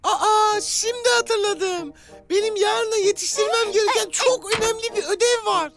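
A woman voicing a stubborn, excitable child in a children's cartoon who is scared but bluffs bravery. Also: very high-pitched, normal speed, music in the background. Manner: defensive, excitable. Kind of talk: animated children's cartoon acting.

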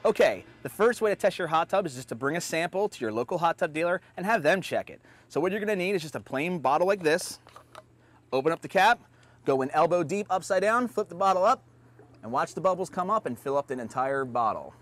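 A man's voice: on the high side, animated, a little fast, fairly bright tone. A man in his thirties hosting an unscripted how-to, talking step by step in an upbeat, plain way while working in a backyard. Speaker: host. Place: backyard outdoors beside a hot tub.

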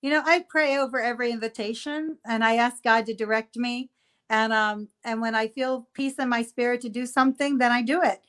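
Middle-aged woman, from the United States, pastor guest, earnest and gentle, slightly high voice, webcam call. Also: fairly even intonation, brisk. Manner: earnest, gentle, with many fillers. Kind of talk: casual video-call interview. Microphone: laptop webcam on a video call.

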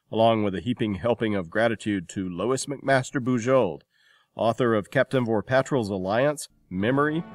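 A man's voice: medium pitch, dry recording, clean studio sound. A man presenting a podcast as its host, reading scripted credits and announcements.

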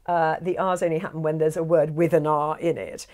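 A middle-aged woman with a British accent, a guest in a casual chat, lively and animated. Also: low voice, a little fast. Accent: British English. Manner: lively, animated, slips into character voices. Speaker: guest narrator and director. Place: small studio with shelves of toys. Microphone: lapel mic.

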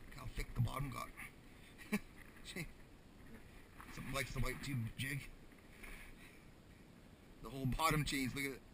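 A man's voice: mid-range voice, fairly even intonation, moderate pace, vocal fry, pauses often. A Canadian man chatting casually while fishing, with folksy mild exclamations and frequent "eh" tags. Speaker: ice fisherman filming a fishing vlog. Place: small ice fishing tent on a frozen lake. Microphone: body-worn or propped action camera. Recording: noisy action camera inside a tent.

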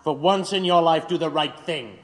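An actor in an audio drama playing a cruel, officious government interrogator, with a deliberate, sneering, commanding delivery. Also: male voice, fairly high pitch, close to an everyday voice. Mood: cold, threatening. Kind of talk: dramatic audio play acting. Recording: long hollow reverb.

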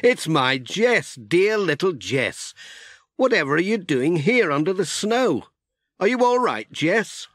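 A gentle, kindly village postman from a children's story, voiced by a male narrator. He exclaims tenderly with very animated pitch. He takes his time, and the sound is dry and close. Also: takes it slowly, no echo, heavily acted. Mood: tender surprise. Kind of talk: children's audiobook character voice.

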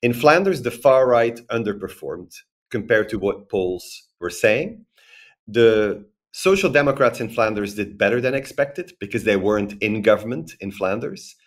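A man in his forties, a guest expert on a podcast, recording remotely and explaining at length in a lively, structured way with frequent tag questions. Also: fairly low pitch, normal speed. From Belgium. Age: 40s. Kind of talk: podcast interview, analytical explanation.

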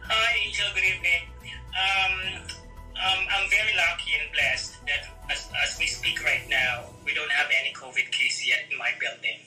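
Sharp, echoey middle-aged man on video call. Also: a little high, steady tone, moderate pace, faint music behind. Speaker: care home administrator being interviewed. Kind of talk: interview answers. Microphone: phone video call. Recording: sharp, roomy, distant video-call audio.

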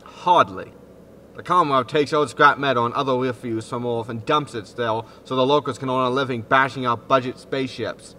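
A man's voice: mid-range voice, steady tone, normal speed, sharp tone, slight background noise, lightly acted. A man playing a defensive, exasperated disgraced spaceship captain, indignant and grumbling, in a sci-fi comedy audio drama. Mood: grumbling, resigned.

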